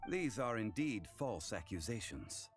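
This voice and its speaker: A man's voice: lowish voice, big pitch swings, soft background music. An actor playing an ancient, scholarly male god in human form, speaking formally with dry amusement. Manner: measured, formal, dryly amused. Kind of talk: game voice acting.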